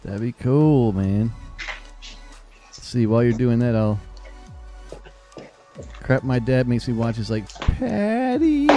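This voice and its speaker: Man, host, casual chat, lively pitch, warm dark tone, faint music behind. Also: takes it slowly, pauses often. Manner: enthusiastic, effusive. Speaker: podcast host.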